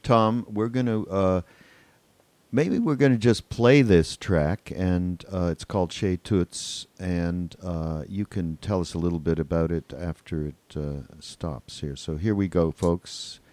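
A man's voice: low-pitched, unhurried, darkish tone, dry recording. A man hosting a podcast, casually introducing things and putting questions to a guest. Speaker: podcast host.

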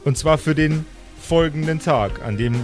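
A man's voice: a little high, normal speed, soft background music. A man narrating an improvised tabletop game as game master, with a theatrical storytelling manner.

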